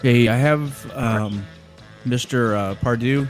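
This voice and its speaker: A man playing it straight as an official-sounding agent on a prank phone call, speaking in a hesitant, deadpan patter. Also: mid-range voice, slow, soft background music. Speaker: prank caller posing as consumer center agent.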